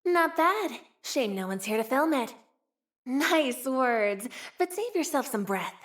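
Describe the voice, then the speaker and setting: A woman's voice: sits high, expressive, slow, a bit bright, heavily acted. A young woman voicing a playful, streetwise, wry frontier woman with a tail in a video game. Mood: upbeat, cheeky. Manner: teasing, sly, drawling, sighing between wry remarks. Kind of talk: video game character voice lines.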